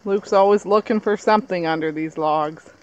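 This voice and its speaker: Mom chatting over outdoor noise. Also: female voice, lowish voice, moderate pace, darkish tone, lo-fi sound. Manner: casual, colloquial, chatty. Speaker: family vlogger, mother. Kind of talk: casual chat while filming. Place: outdoors on forest trail and beach. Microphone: handheld phone or camera mic. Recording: outdoor noise on handheld camera.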